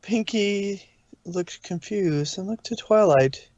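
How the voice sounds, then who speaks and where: Slow, dry-sounding male narrator. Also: high-pitched, darkish tone, no echo, highs cut off. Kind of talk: scripted fan fiction narration.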